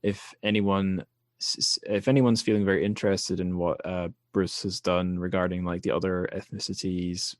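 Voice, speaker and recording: Male podcast host, very low voice, dry close mic, laid-back and wry. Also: no echo. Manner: relaxed, wry, trails off quietly at sentence ends. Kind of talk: conversational interview.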